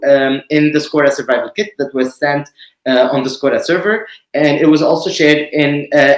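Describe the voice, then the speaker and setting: Man, from Tunisia, webinar host, measured and pausing, mid-pitched, echoey room sound. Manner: measured, explanatory, frequent pauses. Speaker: webinar host, committee director. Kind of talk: online presentation. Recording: roomy echo.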